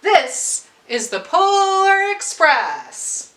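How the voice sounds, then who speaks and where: A woman's voice: very high-pitched, wildly swooping pitch, very slow, bright, forceful tone, echoey room, voice pushed up, exaggerated voice. A woman voicing a hearty male train conductor in a storybook, calling out declarations to children. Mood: hearty, inviting.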